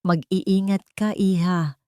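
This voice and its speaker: A woman's voice: mid-range voice, fairly even intonation, takes it slowly, darkish tone, clear, resonant tone, no room sound, clean studio sound, close to an everyday voice. A woman voicing a caring, emotional older nanny in a dramatic audio story. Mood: tearful, caring.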